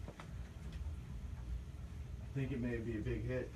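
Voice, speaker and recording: A man hosting a casual interview show with playful, halting banter. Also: mid-range voice, level tone. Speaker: talk show host. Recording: noisy room ambience, amateur show recording.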